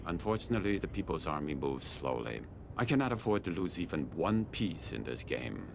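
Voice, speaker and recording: Very deep-voiced, stern army colonel. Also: male voice, sing-song, vocal fry, background noise, exaggerated voice. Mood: measured, imperious. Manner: formal, deliberate, haughty. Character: stern, calculating Chinese army colonel commanding mercenaries. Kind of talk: scripted video game dialogue.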